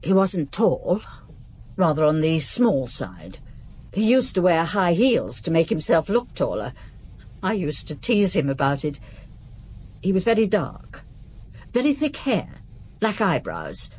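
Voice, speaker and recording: Radio drama witness: low-voiced, guarded ex-servant, sharp-tongued and evasive. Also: female voice, huge pitch swings, a little slow, long pauses, slight background noise. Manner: wary, dry, evasive then blunt. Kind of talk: 1950s-set radio drama acting.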